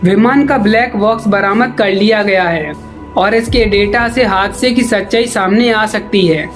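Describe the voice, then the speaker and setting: A woman's voice: mid-range voice, a little slow. A woman delivers scripted documentary-style news narration. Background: background music.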